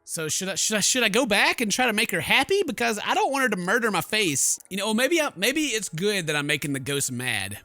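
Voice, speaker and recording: A man's voice: sits high, sing-song, brisk, hardly any pauses, sharp tone, dry recording, faint music behind. A man doing let's play commentary, reading game text aloud dramatically and stumbling now and then. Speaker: gaming YouTuber.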